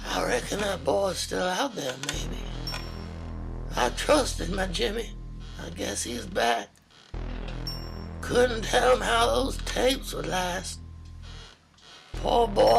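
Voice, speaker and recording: An actor voicing a weary old parent telling a story slowly, with a gravelly, creaky voice. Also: male voice, sits high, exaggerated voice. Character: weary old rural parent recalling lost son Jimmy. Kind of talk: slow monologue storytelling.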